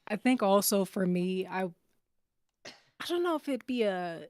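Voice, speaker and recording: A woman speaks casually and reflectively, pausing to find words. Her pace is very slow and her voice slightly low with lively movement. The sound is close and dry. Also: no room sound. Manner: thoughtful, hesitant, measured. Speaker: cast member on a panel. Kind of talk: casual chat.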